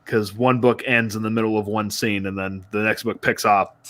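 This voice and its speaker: A man's voice: fairly low pitch, steady tone, normal speed, a bit bright. A man co-hosting a podcast, chatting casually about films and often chuckling as he talks. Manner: chuckles often while talking. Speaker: podcast co-host.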